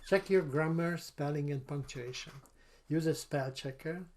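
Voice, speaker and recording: An older man with a Hungarian accent, giving a webinar lecture over slides in a halting way, with repeated words and fillers. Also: a little high, darkish tone. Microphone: video call.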